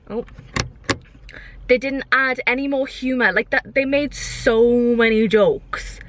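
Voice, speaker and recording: A woman's voice: on the high side, a bit bright. A young woman chatting casually for a vlog, airing her opinions in an exasperated, emphatic way and repeating herself. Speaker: vlogger.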